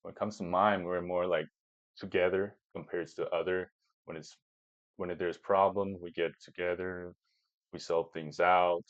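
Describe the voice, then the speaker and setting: A man's voice: deep voice, flat delivery, unhurried, no room sound, muffled recording. A man in his twenties, born in Peru, whose first language is Chinese, answering interview questions casually with many restarts and fillers. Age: 20s. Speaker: podcast guest.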